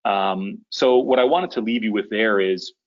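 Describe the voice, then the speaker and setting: A man hosting a business conference call, giving an informal briefing in a conversational, somewhat halting way. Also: on the low side, dull audio. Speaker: conference call host, association leader. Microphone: conference call connection.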